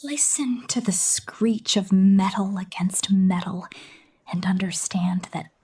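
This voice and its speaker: A woman voicing a sadistic, possessive killer robot in an ASMR roleplay, breathy and often whispering, with a menacing, taunting, drawn-out delivery. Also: medium pitch, a little mellow, heavily acted. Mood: quietly menacing. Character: sadistic, possessive female killer robot drone toying with prey.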